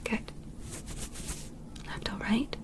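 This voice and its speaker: A woman voicing a calm, curious alien doctor in a breathy whisper, soothing and methodical, in an ASMR medical roleplay. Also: drawn-out words. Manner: soothing, methodical, softly instructing. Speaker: ASMR performer.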